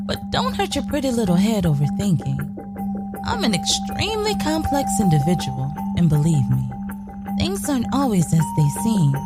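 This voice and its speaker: A woman playing a sly, brash young woman killer in an audio drama, telling her story in a sassy, profane, colloquial way. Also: medium pitch. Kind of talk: scripted first-person narration, audio drama.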